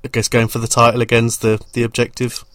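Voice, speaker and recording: A man's voice: medium pitch, completely flat delivery, fast talker, a bit bright, no room sound. A man in his forties hosting an interview, asking rambling, chatty questions. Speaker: podcast host, interviewer. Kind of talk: casual interview.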